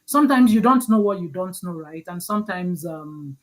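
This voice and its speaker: A woman's voice: lowish voice, animated, moderate pace. A woman from Nigeria, hosting a livestream interview over a video call and reading out audience comments. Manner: encouraging, repeats words while gathering thoughts. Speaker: host.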